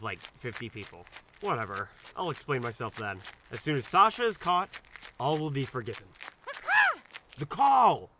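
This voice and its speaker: A man's voice: a little high, pushed and bright, slight background noise. An actor playing an awkward, anxious young male doctor in an audio drama, rambling and scolding himself in a flustered, hesitant way. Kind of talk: audio drama dialogue with first-person inner monologue.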